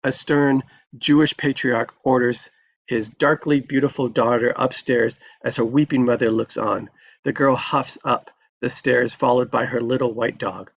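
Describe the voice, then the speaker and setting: Gravelly man reading screenplay directions. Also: medium pitch, steady tone, unhurried, highs cut off. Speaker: reader of stage directions at a table read. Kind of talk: scripted screenplay narration, read aloud live.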